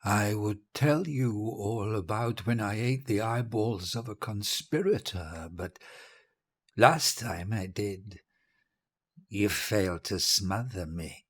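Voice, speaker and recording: An actor playing a dying, deranged dictator, cruel, grandiose and childish, in a theatrical audio drama monologue. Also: male voice, on the low side, slightly rough, exaggerated voice. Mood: fearful, hushed. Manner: theatrical, volatile, slow and deliberate, swinging from hush to bellow.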